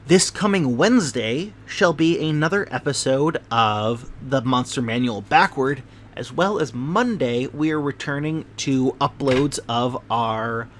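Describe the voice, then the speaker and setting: A man reading a classic novel aloud as narrator, in a measured way with the odd slip and restart. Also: medium pitch, a bit bright, slight background noise. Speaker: narrator and channel host.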